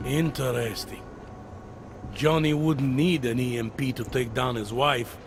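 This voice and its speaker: A man's voice: mid-range voice, steady tone, unhurried, noisy background, natural voice. A man acting a world-weary, middle-aged police detective in a video game, with a hesitant, wry, conversational delivery. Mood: wry, musing. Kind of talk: video game cutscene dialogue.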